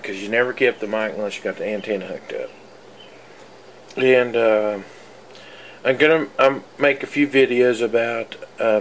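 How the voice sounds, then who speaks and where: A man's voice: medium pitch, fairly bright tone. A man talking casually and off the cuff about a hobby project, in a folksy, rambling, hesitant way. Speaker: hobbyist video maker. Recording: clearly noisy home camera recording.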